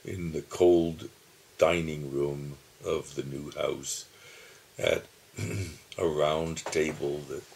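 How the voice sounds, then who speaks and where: Older man in his 70s, slow musing diarist at home, deep gravelly voice. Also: steady tone, slight background noise. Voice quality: calls his own voice dull. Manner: slow, musing, with soft chuckles and pauses. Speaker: video diarist. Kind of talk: reflective personal reminiscing. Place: home, seated at his computer. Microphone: computer camera mic.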